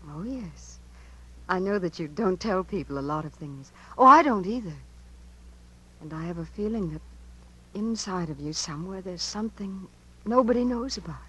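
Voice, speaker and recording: An eager, adoring young niece. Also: female voice, fairly low pitch, animated, normal speed, a bit bright, slightly rough, poor audio. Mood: adoring, intimate. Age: young adult. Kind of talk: 1950s radio drama acting. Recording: vintage 1950s radio broadcast.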